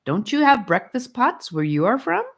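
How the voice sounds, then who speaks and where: A woman's voice: on the high side, very animated, normal speed, pitched above the performer's own voice. A woman voicing a kind, patient male guide in a storybook, friendly and reassuring like a parent. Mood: encouraging.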